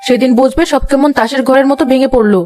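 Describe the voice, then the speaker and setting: A woman's voice: high-pitched, fast, natural voice. A woman voicing a bossy, nosy, middle-aged mother-in-law in a cartoon, accusing and dramatic. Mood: scolding. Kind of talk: animated comedy story acting. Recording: loud music behind the voice. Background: music.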